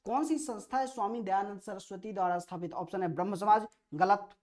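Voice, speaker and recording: A woman's voice: mid-range voice, brisk, a little mellow. A woman with an Indian accent teaching an exam-prep lesson, reading out questions and explaining answers with emphatic repetition of key phrases. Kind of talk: quiz-style history lesson, questions read out and explained.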